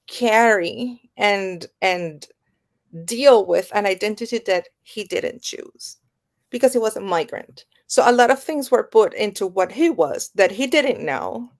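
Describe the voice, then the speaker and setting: A woman guest on a podcast, talking reflectively and building her ideas up in lists. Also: mid-range voice. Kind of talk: reflective conversational podcast talk.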